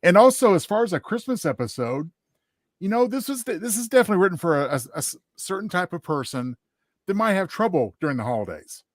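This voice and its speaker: Slightly high-voiced man, casual co-host. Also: very animated, quick pace, no room sound, studio quality. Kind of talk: casual discussion.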